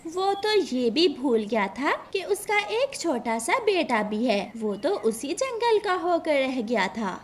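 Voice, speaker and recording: Casting: female storyteller for children's cartoon, high voice, very animated intonation. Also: slow pace, slight background noise. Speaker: story narrator. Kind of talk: scripted children's cartoon narration in Hindi.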